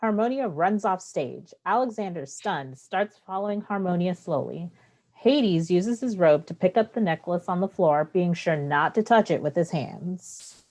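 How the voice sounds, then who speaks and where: A woman narrates a staged play reading, giving the scripted stage directions. Her voice sits slightly low for a woman. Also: animated, unhurried, darkish tone.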